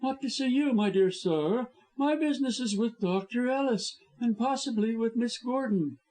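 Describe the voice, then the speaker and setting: A man's voice: very high voice, normal speed, a little mellow, pitched above the performer's own voice, poor audio. A man reading an audiobook, voicing a scheming male lawyer who sneers and threatens. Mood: insolent.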